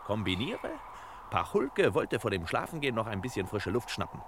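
An actor playing a self-assured, know-it-all master detective in a crime radio play parody, with pompous, clipped delivery. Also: male voice, on the low side, sing-song, moderate pace. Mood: smug, deducing. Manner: pompous, clipped, precise deductions. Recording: noisy old radio drama recording.

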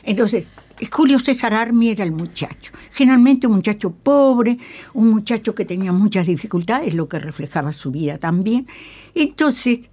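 An older woman with a Uruguayan Spanish accent, reminiscing in a radio interview as an emphatic, halting storyteller who repeats phrases and checks in with tag questions. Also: medium pitch, expressive, warm tone. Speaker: guest, veteran radio actress. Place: radio studio.

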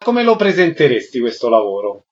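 A man's voice: mid-range voice, very animated, a little fast, a little mellow. A man hosting a radio interview with short questions. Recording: slightly dull radio sound.

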